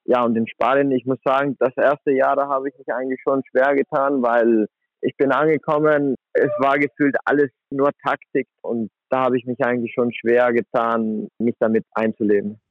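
A man's voice: mid-range voice, moderate pace, no room sound. A man in his twenties, a handball player, talking casually in an interview with many fillers. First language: German. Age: 20s. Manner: relaxed, chatty, many fillers like "sag ich mal". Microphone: phone. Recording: tinny phone line.

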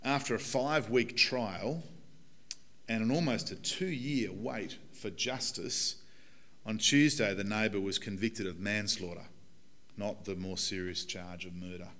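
Hoarse aussie pastor in his 40s, kinda low voice, preaching casual and earnest in church. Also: a little slow, bright, forceful tone. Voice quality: croaky. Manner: conversational, earnest preaching with fillers. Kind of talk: sermon. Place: church hall.

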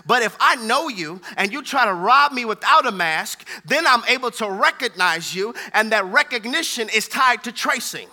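Very high, bright-voiced American male preacher. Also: lively intonation, moderate pace, few pauses, rough recording. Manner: emphatic, repetitive rhetorical delivery. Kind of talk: fervent sermon.

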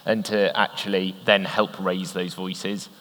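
A man moderating a live conference panel, speaking earnestly and in a measured way. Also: deep voice, level tone, fairly bright tone. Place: conference hall, on stage. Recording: reverberant hall.

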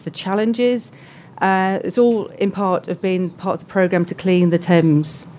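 A soft-spoken woman giving a measured conference presentation with frequent pauses. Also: lowish voice, a little hiss or hum. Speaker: conference speaker. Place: conference hall.

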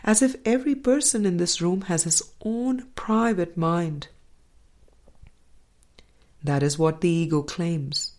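A woman reads a spiritual book aloud in an unhurried, deliberate way, stopping to comment between passages. Her voice sits low, and her phrases often fade to a soft, quiet close. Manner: slow, deliberate, soft-spoken with quiet trailing endings. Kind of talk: measured audiobook-style reading with commentary.

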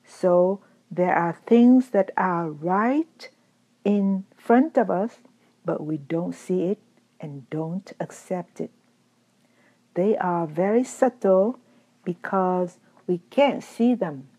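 A woman teacher giving a calm, measured dharma talk, her voice still recovering after being lost. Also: fairly low pitch. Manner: calm, measured, gently explanatory. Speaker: Buddhist teacher. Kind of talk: slow reflective dharma talk.

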